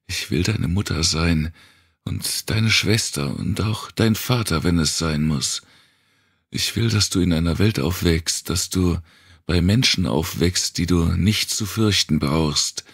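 A man doing a fiercely protective young woman, raised in pitch from a very deep, rough voice. She pleads in an urgent hush, torn and desperate, in a dry audiobook reading. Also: no echo, heavily acted.

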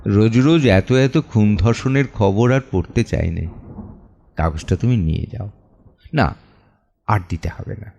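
A man playing an anguished, protective middle-aged father in an audio drama monologue, with halting, emotive delivery full of pleas and pauses. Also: fairly low pitch, creaky, a little mellow. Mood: weary, subdued. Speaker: voice actor and reciter. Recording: music under the voice. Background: background music.

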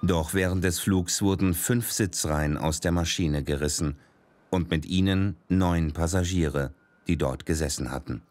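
A man narrating a documentary in a measured, grave scripted voice-over. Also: sits low, darkish tone, no echo. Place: voice-over studio. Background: dramatic documentary music.

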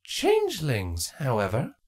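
A woman voicing a stern changeling queen and mother in a storybook reading, measured, formal and commanding. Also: a bass voice, huge pitch swings, a little mellow, heavily acted.

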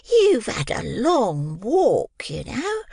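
A woman's voice: fairly high pitch, huge pitch swings, extremely slow, gravelly, mellow, husky, dry recording, clean studio sound, heavily acted. A woman voicing a fawning, scheming middle-aged orphan-nurse who gushes and wheedles in an old-fashioned working-class dialect. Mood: coaxing. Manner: gushing, wheedling, sugary. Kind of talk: dramatized classic novel reading.

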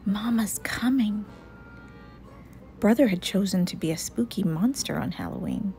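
A woman reading a children's picture book aloud in a deliberate, animated storytelling manner. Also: lowish voice, creaky, soft background music. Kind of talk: expressive read-aloud narration.

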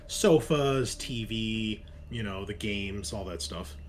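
A man narrating a tabletop roleplaying game as game master, casual and halting as he improvises descriptions. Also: lowish voice, a little hiss or hum.

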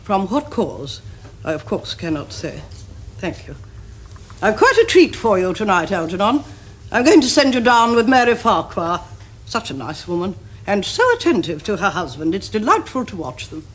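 A woman's voice: medium pitch, sing-song, moderate pace, breathy edge. A middle-aged woman with an upper-class English accent playing a haughty, imperious Victorian aunt in a period comedy, delivering stately pronouncements. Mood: grandly composed. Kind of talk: period stage comedy acting. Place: furnished drawing room set. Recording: noisy old television soundtrack.

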